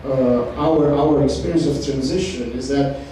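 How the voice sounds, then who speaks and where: A man speaking on a discussion panel, halting, with many fillers and restarts. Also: medium pitch, warm tone. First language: Romanian. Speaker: panel participant. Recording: echoey hall, distant mic, faint noise.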